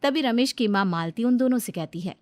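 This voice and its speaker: A woman narrating a cartoon moral story in a steady, plain storytelling voice. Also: a little high, brisk, no echo, studio quality.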